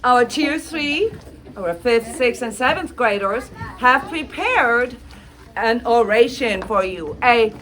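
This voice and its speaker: A woman with a high voice hosts a school event as the teacher. She makes her announcements at a slow, measured pace, in the manner of someone running a live student recital.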